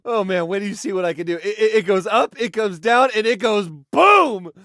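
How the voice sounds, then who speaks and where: An actor playing a cocky young man in a video game, boastful and stammering with excitement. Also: sits high, racing, clear, resonant tone, no echo, clean studio sound, close to an everyday voice. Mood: excited, boastful.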